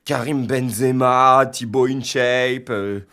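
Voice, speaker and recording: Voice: mid-range voice, fairly even intonation, slow pace, fairly bright tone, clear, resonant tone. An actor playing an awkward, exasperated dance teacher in a comedy cartoon, stammering and falsely earnest. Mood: preachy, awkward. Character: awkward, exasperated dance teacher feigning open-mindedness, animated parody. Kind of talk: comedic animated sketch dialogue.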